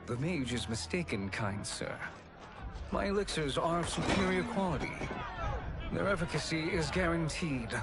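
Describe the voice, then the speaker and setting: A man playing a sly, smooth-talking seller of fake magic cures in a video game, formal and measured. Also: a little high, animated. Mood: defensive salesmanship. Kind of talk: dramatic video game acting. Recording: music under the voice.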